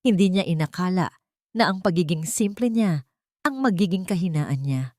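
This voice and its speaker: Dramatic woman storyteller, low dry voice. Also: expressive, normal speed, warm tone, no room sound. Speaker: story narrator. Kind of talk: dramatic scripted storytelling narration.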